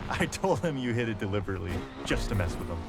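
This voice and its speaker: A man's voice: lowish voice, sing-song, fast talker. An actor playing an easygoing, loyal young male street racer, trading teasing banter in a game cutscene. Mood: playful, nostalgic. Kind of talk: naturalistic game cutscene dialogue. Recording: music behind the voice.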